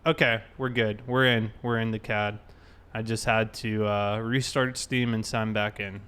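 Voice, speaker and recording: A man's voice: lowish voice, flat delivery, unhurried, a bit bright, clean tone. A man hosting a casual game livestream, thinking aloud and talking informally to his viewers. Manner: informal, thinking aloud while reading chat. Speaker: live streamer, host.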